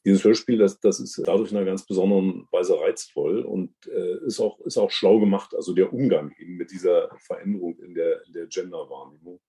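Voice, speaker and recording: A man with a low, very soft and dark voice speaks as a juror in an unscripted interview. He explains things deliberately and at length, often halting to rephrase. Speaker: podcast guest and radio drama award juror.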